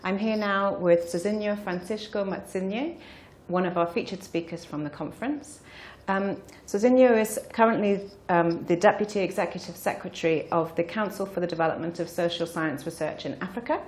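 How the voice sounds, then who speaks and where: A woman's voice: lowish voice, brisk, darkish tone, reverberant. A woman interviewing a guest, friendly and encouraging, giving frequent backchannels. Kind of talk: conference interview.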